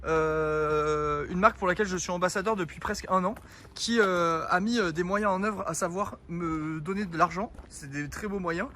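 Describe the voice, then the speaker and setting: A man's voice: high-pitched, normal speed, fairly bright tone. A young man streaming live outdoors, chatting and joking with his viewers, a bit out of breath. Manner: joking, teasing, talks to chat. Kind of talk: casual IRL stream chat. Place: outdoors in a town street.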